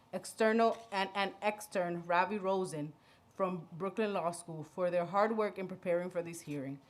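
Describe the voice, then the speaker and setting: A woman with a Dominican accent chairing a public council hearing, reading acknowledgements and stumbling over names with light self-deprecating asides, speaking into a desk microphone in a hearing room. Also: mid-range voice. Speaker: committee chair.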